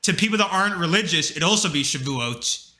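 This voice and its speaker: Rambling, bright-voiced young man in an echoey room. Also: a little high, brisk. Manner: rambling, filler-heavy, animated. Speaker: podcast co-host. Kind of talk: casual chat.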